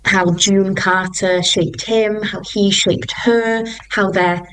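A woman's voice: medium pitch, fairly even intonation, slow, fairly bright tone, slight background noise, rough recording. A young woman, a musical theatre singer, talking enthusiastically in an interview and often restarting her sentences. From Wales. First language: Welsh.

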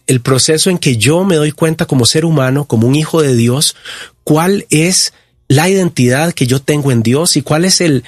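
A man in his fifties with a Costa Rican accent, giving personal testimony as an interview guest in a reflective, halting way. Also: a little high, husky, no echo, studio quality. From Costa Rica. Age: 50s.

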